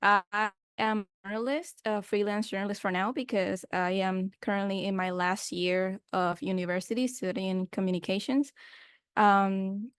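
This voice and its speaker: A young woman from Puerto Rico, a journalist, introducing herself on an online panel in an earnest, animated way with frequent fillers. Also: mid-range voice, moderate pace, smooth, dry recording, clean studio sound.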